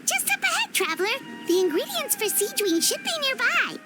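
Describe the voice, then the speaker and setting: Squeaky, very high childlike fairy companion. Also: female voice, very animated, a little fast. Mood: excited. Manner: exclamatory, speaks of self in third person. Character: small floating childlike female fairy companion, excitable and cheeky. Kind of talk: video game voice acting. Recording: music behind the voice.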